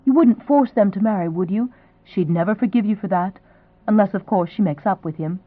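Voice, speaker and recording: A woman's voice: mid-range voice, a little fast, dry recording, natural voice. A woman voicing a young woman who chatters eagerly and gushes. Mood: curious. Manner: eager, gushing, lively. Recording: tinny, phone-like sound.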